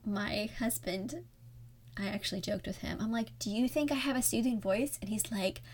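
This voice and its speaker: A woman's voice: a little high, normal speed. A woman hosting her own podcast, talking in a candid, conversational and reflective way with a voice listeners call soothing. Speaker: podcast host. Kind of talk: solo podcast talk.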